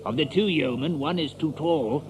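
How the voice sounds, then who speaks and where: Man playing a lord's officer amid loud crowd. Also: on the high side, normal speed, close to an everyday voice, rough recording. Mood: deferential. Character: deferential but disdainful officer serving a lord. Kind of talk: theatrical audio drama acting.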